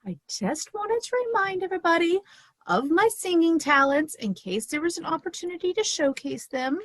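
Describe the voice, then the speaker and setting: A woman's voice: very high voice, very animated, normal speed, a bit bright, no room sound, exaggerated voice. A woman playing an eager, attention-seeking costume and makeup artist in an amateur theater comedy, perky and theatrical. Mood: defensive, showy. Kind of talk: comedic web series acting.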